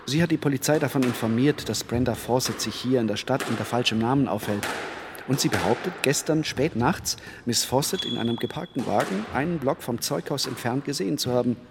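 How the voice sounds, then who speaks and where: A man's voice: medium pitch, brisk, vocal fry, natural voice. Formal, shrewd lawyer played by a man, noisy background. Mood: shrewd, confident. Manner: formal, precise. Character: shrewd, composed male defence lawyer. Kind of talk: German radio crime drama acting. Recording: clicks and bangs of squash hall behind.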